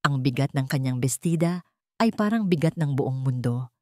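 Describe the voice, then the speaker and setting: A woman's voice: very deep voice, lively intonation, moderate pace, darkish tone, dry recording, studio quality. A woman narrator reading a scripted, dramatic love story.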